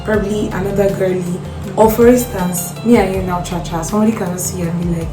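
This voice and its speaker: A woman's voice: medium pitch, fast. Outspoken Nigerian woman, roomy sound. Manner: outspoken, animated, mixes in Nigerian Pidgin. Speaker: vlogger host. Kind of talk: casual chat. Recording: roomy sound with music behind.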